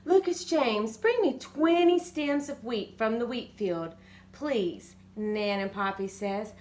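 Slow-reading grandmother on a couch. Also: fairly high pitch. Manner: slow, gentle storybook reading with lively character lines. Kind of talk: reading a picture book to a grandchild. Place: living room couch, indoors. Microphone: phone or webcam in front of the couch.

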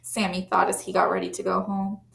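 A young woman teacher reading a picture book aloud to children, animated and playful, with big gasps and leaps in pitch. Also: a little fast, vocal fry, a little hiss or hum. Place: small classroom, indoors. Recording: echoey classroom.

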